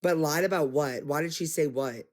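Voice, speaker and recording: A man's voice: fairly high pitch, moderate pace. Exasperated man ranting to viewers. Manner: animated, exasperated, addresses viewers as y'all. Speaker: commentary streamer. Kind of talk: casual livestream commentary.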